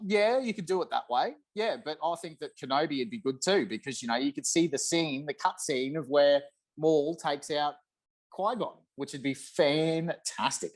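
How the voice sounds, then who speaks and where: Enthusiastic man chatting on a call. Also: a little high. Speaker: podcast guest. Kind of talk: casual chat about a video game. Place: room at home, on a video call.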